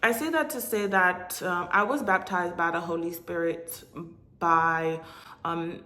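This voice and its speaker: A woman whose first language is Haitian Creole, from Hispaniola, delivering a religious message straight to camera in a halting way, with frequent pauses and filler sounds. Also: lowish voice, fairly even intonation, bright, forceful tone. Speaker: religious vlogger. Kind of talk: direct-to-camera prophetic message. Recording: echoey room.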